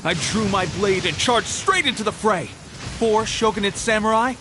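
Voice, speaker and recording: Man playing an eager young soldier, high-pitched. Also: normal speed, noisy background, close to an everyday voice. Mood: proud, boastful. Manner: earnest, eager. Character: earnest, eager young male resistance soldier, proud and respectful. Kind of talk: video game voice acting.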